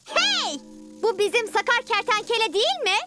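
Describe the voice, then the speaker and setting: A woman's voice: very high voice, sing-song, moderate pace, over loud music. A woman voicing a bratty, selfish young girl villain in a children's cartoon dub. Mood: mocking.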